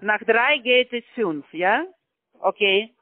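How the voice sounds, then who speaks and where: A man working as a call-center scammer on a phone call, speaking halting German with non-native grammar and repeating each digit back. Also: high voice, animated, no room sound. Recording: tinny phone line.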